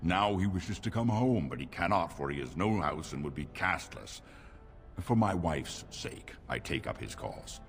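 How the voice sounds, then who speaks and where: An actor playing a scheming, courtly dwarf lord in a fantasy video game, formal and persuasive like an orator. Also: male voice, low-pitched, sing-song, normal speed, creaky, exaggerated voice. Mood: candid, pleading. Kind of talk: video game dialogue acting. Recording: reverberant hall with faint music.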